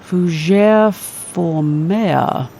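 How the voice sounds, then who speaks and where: A man's voice: high-pitched, extremely slow, gravelly, dark tone, slight background noise, full character voice. A man playing a haughty, officious goblin queen in an audio drama, with a commanding, formal manner. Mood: haughty, puzzled. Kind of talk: scripted audio drama acting.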